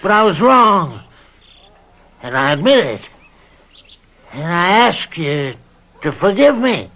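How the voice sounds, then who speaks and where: An actor playing a scheming older rural American tyrant in an old-time radio drama, with a folksy, wheedling drawl. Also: male voice, high-pitched, very animated, takes it slowly, sharp tone, heavily acted. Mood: pleading, wheedling. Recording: noisy vintage radio drama recording.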